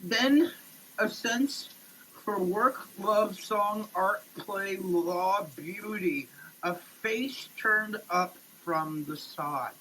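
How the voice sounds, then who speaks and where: A mid-pitched voice reads slowly and theatrically, declaiming in halting pieces and repeating words. The recording is noisy, made in a running shower. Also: sharp tone. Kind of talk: dramatic, theatrical reading of a product label.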